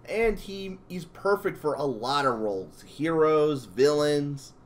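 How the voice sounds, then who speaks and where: A man's voice: fairly high pitch, animated, slow. A man hosting a casual interview, talking in a rambling, enthusiastic fan's way. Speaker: interviewer and channel host.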